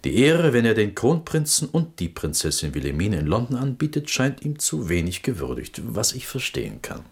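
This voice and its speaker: Husky, low-voiced scheming court minister. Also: male voice, sing-song, moderate pace, exaggerated voice. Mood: smug, scheming. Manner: insinuating, smug, formal courtly diction. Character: scheming, self-important Prussian court minister, greedy and sly. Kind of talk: German radio play acting.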